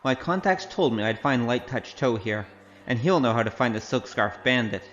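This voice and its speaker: A man's voice: medium pitch, moderate pace, a bit bright, lightly acted. Man acting a wry gambler, dull recording. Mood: musing. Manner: sardonic, teasing. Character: wry, cocky wandering gambler and martial artist. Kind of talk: audio drama acting with spoken self-narration. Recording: dull, slightly noisy audio.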